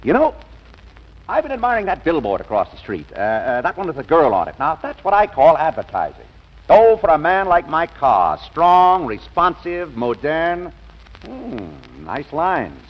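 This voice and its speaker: A man's voice: a little high, wildly swooping pitch, measured pace, muffled recording, full character voice. An actor playing a breezy, self-assured businessman giddy with infatuation in a 1940s radio comedy, on an old broadcast recording. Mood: giddy, dreamy. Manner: exuberant, distracted, hums to himself.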